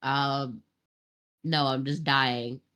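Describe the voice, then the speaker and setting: Low-key male guest with allergies. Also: level tone, drawn-out words, pushed and bright, smooth. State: suffering from allergies. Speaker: podcast guest. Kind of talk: casual online chat.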